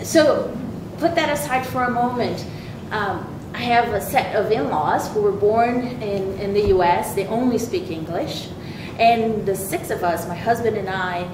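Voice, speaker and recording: Woman from Brazil, panelist, hesitant delivery, heard from afar in an echoey hall with rumble. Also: moderate pace, hardly any pauses. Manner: hesitant, many fillers and restarts, laughs often. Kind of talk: panel discussion remarks. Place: large university event hall. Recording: echoey hall, distant mic, background rumble.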